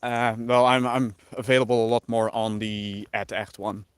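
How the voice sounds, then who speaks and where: A man's voice: on the low side, moderate pace, clear, resonant tone, no room sound. A man from the Netherlands with a light Dutch accent, chatting casually with viewers on a livestream and musing over their questions. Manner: relaxed, musing, reads chat aloud and answers.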